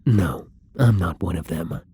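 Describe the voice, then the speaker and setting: A man playing a newly turned young vampire in an intimate ASMR roleplay, apologetic and hungry, speaking softly and hesitantly with a husky, breathy voice that often drops to a whisper. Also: low-pitched, expressive, a little slow, exaggerated voice. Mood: subdued, wistful.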